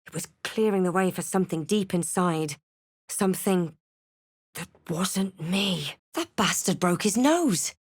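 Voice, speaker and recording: Fantasy RPG heroine: thoughtful spellcasting adventurer, guarded and drily snarky, lower-set voice, deliberate phrasing, studio-dry sound. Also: female voice, expressive, breathy edge, no room sound. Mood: grave, reflective. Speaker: video game player character. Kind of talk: scripted game voice lines, narration and barks.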